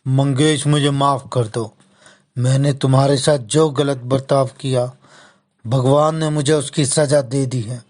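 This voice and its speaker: A haughty village goldsmith from a storybook tale, acted out by a woman. He speaks slowly and theatrically, drawing out his words like a man used to being obeyed. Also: extremely low pitch, fairly even intonation, darkish tone, voice pushed down. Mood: humbled, pleading. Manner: contemptuous, scolding. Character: angry, haughty male village goldsmith, later humbled. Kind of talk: dramatized children's story dialogue.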